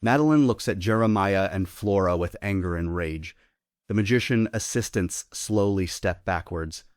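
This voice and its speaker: A man's voice: sits low, normal speed, dry recording, studio quality. A man giving scripted narration, reading out the stage directions of a play.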